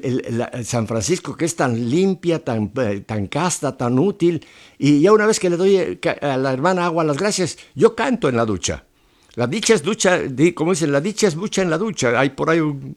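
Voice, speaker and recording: A middle-aged Mexican man hosting a casual radio chat, talkative and joking. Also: on the high side. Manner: chatty, jokey, warm storytelling. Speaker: radio host.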